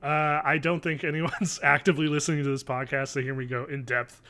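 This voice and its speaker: A man's voice: fairly high pitch, little pitch movement, a little fast, sharp tone. A man in his thirties co-hosting a podcast from home, chatting casually in a dry, rambling way. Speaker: podcast co-host. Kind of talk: casual chat. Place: home living room, video call.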